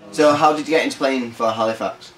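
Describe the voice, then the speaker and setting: A man's voice: medium pitch, quick pace, a bit bright, a little hiss or hum, low-quality recording. A man putting short interview questions to a rugby player.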